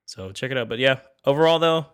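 A man's voice: medium pitch, lively intonation, fast, clear, resonant tone. A young man co-hosting a podcast, a bit stuffy and nasal from a cold, talking casually and enthusiastically with lots of restarts. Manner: rambling, enthusiastic, full of restarts. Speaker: podcast co-host. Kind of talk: casual chat.

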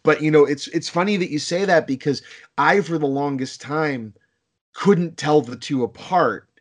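A man's voice: a little high, moderate pace. Rambling man with a Boston accent. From Boston, United States. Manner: rambling, animated, many restarts, swears casually. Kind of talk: casual chat.

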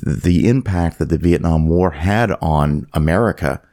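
A man's voice: a bass voice, moderate pace, mellow. A man from Canada co-hosting a film podcast, thinking aloud and restarting his sentences in casual discussion. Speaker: podcast co-host.

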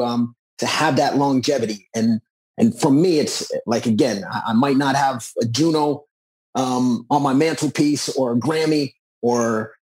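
A man's voice: medium pitch, darkish tone, slightly rough, low-quality recording. A man, talking reflectively and earnestly as a musician in a conversational interview. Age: 40s. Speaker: musician and author being interviewed.

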